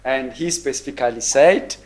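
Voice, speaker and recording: Man in his forties, mid-pitched, measured keynote delivery at a university roundtable. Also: darkish tone. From Ethiopia. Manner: measured, thoughtful, frequent ums and repeated words. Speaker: keynote speaker. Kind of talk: conference presentation. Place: university roundtable event room.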